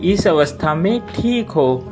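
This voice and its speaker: A woman's voice: low voice, expressive, takes it slowly, darkish tone, soft background music. A woman voicing a stern, magical female fish in a cartoon story, with an authoritative manner. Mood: firm.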